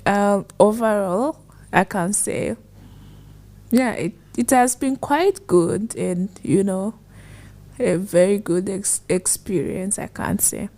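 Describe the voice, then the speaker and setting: A young woman from Rwanda telling her story as a podcast guest, soft-spoken and thoughtful, her voice trailing off at phrase ends. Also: mid-range voice, slow pace. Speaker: guest, former foreign student. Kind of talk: conversational storytelling.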